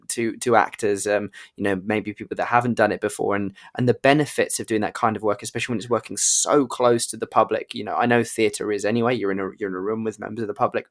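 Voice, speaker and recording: A man with a lowish voice interviewing someone in a relaxed conversational style. He is effusive and enthusiastic, and his questions ramble through several clauses before they land. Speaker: interviewer, podcast host and actor.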